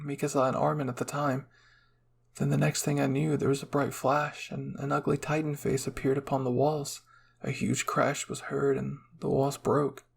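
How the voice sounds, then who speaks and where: A man reading a first-person fan fiction story as soft, measured ASMR narration. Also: mid-range voice, gravelly, a little hiss or hum.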